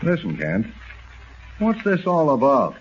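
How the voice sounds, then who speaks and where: An actor playing a gruff, impatient, skeptical newspaper boss in a 1940s radio serial. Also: male voice, mid-range voice, wildly swooping pitch, slow pace. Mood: exasperated, puzzled. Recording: dull, noisy 1940s radio recording.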